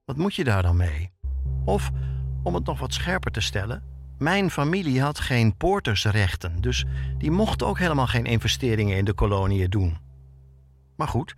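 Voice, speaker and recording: Reflective male podcast host, music behind. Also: fairly low pitch. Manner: reflective, personal. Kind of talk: scripted podcast narration.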